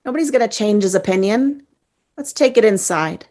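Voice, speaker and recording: A woman's voice: mid-range voice, animated, moderate pace, darkish tone, natural voice. A woman in a drama table read playing a brash, hot-tempered male juror, sarcastic, indignant and emphatic. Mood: impatient, argumentative.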